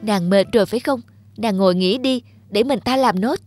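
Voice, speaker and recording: A man playing a shy young peasant in love in a cartoon fairy tale, hesitant and soft-hearted. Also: sits high, moderate pace, soft background music. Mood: tender. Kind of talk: animated fairy tale acting.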